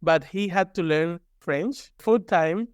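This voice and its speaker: Higher-pitched man with a Cuban accent. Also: slow, clean tone, no room sound, clean studio sound. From Cuba. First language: Spanish. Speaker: guest, university professor. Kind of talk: conversational interview.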